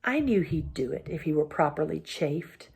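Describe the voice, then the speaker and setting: Brief: woman playing a scheming lord, low and cold. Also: expressive, normal speed, creaky, a little mellow, breathy edge, exaggerated voice. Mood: sly. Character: sly resentful male lord, scheming.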